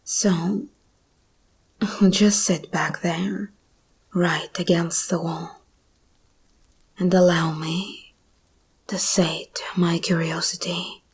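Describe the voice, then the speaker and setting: Breathy, slow-whispering predatory monster girl, played by a woman. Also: fairly low pitch, fairly even intonation, full character voice. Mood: confident, menacing. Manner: slow, teasing, predatory purr. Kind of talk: ASMR roleplay, intimate monologue.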